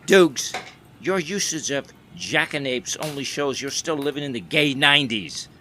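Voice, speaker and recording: An actor playing a brash younger 1920s sportswriter with a sarcastic, needling manner. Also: male voice, medium pitch, expressive, moderate pace, pushed and bright, a little hiss or hum. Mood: mocking.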